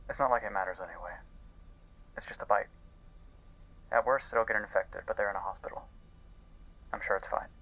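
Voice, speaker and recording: A man playing an earnest, guilt-ridden scientist in an audio drama, speaking intensely and haltingly as if recording a log. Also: lowish voice, sharp tone, rough recording. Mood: worried, grave. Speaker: voice actor. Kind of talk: dramatic audio-log monologue in a fiction podcast.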